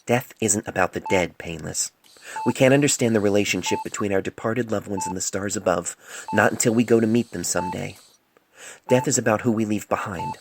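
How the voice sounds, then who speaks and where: A man voicing a stern, grieving middle-aged female pony doctor in an audio storybook, grave and measured, on an amateur phone recording. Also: lowish voice, natural voice. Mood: grieving, resolute. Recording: noisy amateur cell phone mic recording.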